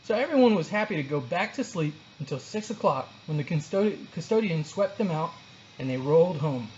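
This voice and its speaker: A storyteller narrating a children's book aloud in an expressive read-along style. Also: medium pitch, a little fast, lo-fi sound, a little hiss or hum.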